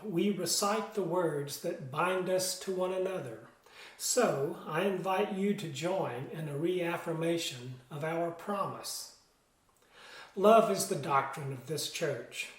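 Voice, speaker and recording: A man in his sixties reads solemn words for a church service. He speaks slowly in a slightly high voice, with a roomy echo around him. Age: 60s. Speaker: church board officer, lay reader. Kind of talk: solemn liturgical reading. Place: room in a home, seated at a table.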